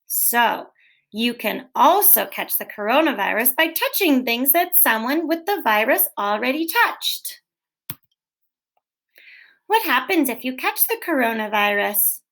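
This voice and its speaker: A woman teacher reading a children's book aloud in a gentle, clear, expressive way, posing questions to young listeners. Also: high-pitched, measured pace. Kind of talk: read-aloud storytelling for children.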